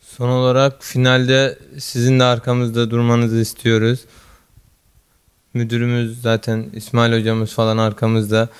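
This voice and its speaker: A teenage student athlete giving brief, modest answers in a school office, speaking into a handheld mic. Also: male voice, medium pitch, monotone, unhurried, clear, resonant tone. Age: teens. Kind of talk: interview answers. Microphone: handheld mic held by interviewer.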